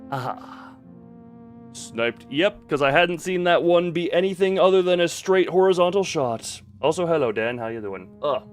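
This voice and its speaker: A man's voice: fairly high pitch, lively intonation, normal speed, faint music behind. A man live-streaming a video game with casual commentary and chat with viewers, rambling in half-finished thoughts with playful sound words.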